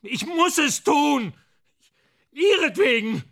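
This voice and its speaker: A man in a German radio play acting an anguished, guilt-ridden screenwriter, halting and agitated as he pleads. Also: very high-pitched, sing-song, vocal fry, pushed and bright, full character voice. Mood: pleading, justifying.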